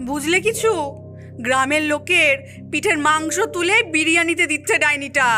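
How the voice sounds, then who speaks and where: A woman's voice: high-pitched, normal speed, sharp tone, faint music behind. A woman voicing a shrewd, coaxing village woman in a cartoon, with a teasing, exclamatory delivery. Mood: knowing. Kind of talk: cartoon voice acting.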